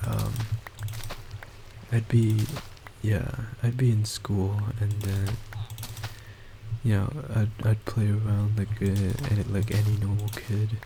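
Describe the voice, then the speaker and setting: A young man, a college student, talking in a quiet, soft voice about himself, hesitant and halting with many restarts and fillers, into a boosted desktop mic. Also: fairly low pitch, flat delivery, background noise. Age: young adult. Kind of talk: rambling personal monologue. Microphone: desktop microphone with boosted gain.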